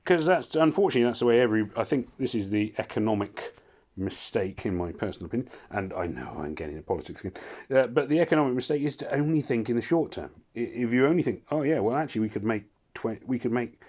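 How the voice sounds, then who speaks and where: A hoarse man casually commentating his mobile game, rambling and cutting himself off with wry asides. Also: mid-range voice, animated, fast, a little mellow. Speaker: gaming channel host.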